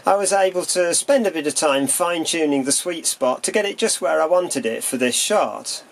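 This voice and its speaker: A man calmly and methodically explaining how camera lenses work, in a demonstration voice-over. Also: a little high, normal speed, vocal fry. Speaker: camera lens reviewer.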